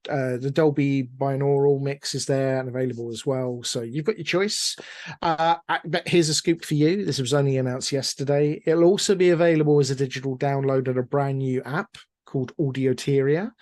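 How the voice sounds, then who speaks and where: A man's voice: medium pitch, no room sound, studio quality. A middle-aged man talking casually as an interview guest, chatty and digressive, with a self-deprecating way of speaking. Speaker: interview guest, audio drama producer.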